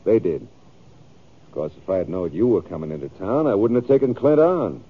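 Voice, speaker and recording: Self-justifying cattleman, American, folksy, wide swings in pitch, thin old-radio sound. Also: animated, a little fast, breathy edge, phone-quality sound. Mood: insistent. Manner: folksy, ungrammatical. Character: stubborn, self-justifying rough-spoken cattle rancher. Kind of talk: 1950s radio western acting.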